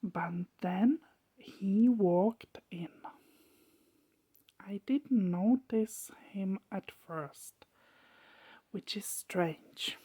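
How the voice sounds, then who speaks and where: A woman narrating a story as ASMR in a soft, hushed whisper, breaking it into short, deliberate phrases. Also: medium pitch, breathy edge.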